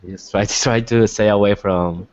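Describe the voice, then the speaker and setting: Student, man with a Mexican accent, very low voice, short questions, dry dull call sound. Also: steady tone, normal speed, darkish tone, no room sound. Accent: Mexican Spanish. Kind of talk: asking questions in class.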